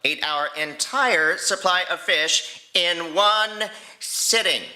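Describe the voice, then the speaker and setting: A man's voice: high-pitched, slow, sharp tone, voice pushed up, full character voice, poor audio. A man voicing an angry young dragon rider who scolds his pet indignantly in an audio storytelling. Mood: exasperated, angry.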